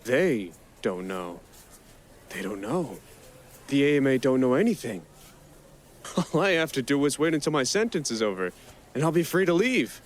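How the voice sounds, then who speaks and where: A man playing a quick-witted prisoner who narrates his own tale in a first-person audio play. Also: mid-range voice, very animated, a little hiss or hum, close to an everyday voice. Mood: scheming, elated.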